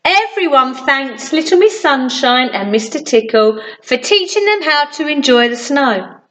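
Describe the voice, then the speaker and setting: A woman reading a children's story aloud in an expressive, deliberate way for young listeners. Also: sits high, slight background noise, rough recording. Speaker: primary school storytime reader.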